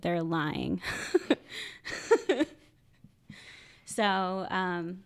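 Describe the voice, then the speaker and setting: Lady social worker on a podcast, kinda low and gravelly, lots of restarts and little laughs. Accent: Philadelphia. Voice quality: high-pitched by her own account. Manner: halting, frequent restarts and laughs. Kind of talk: casual interview chat.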